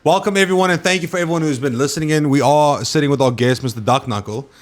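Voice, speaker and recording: A man's voice: a little high, quick pace. A man, hosting a casual stream chat and talking to his viewers, loose and full of swearing. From South Africa. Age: 20s. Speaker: streamer host.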